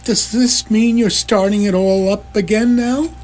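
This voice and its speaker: An actor playing a bewildered, overwhelmed ordinary Earthman in a comic radio drama, halting and incredulous. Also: sits high, fairly even intonation, darkish tone, faint music behind.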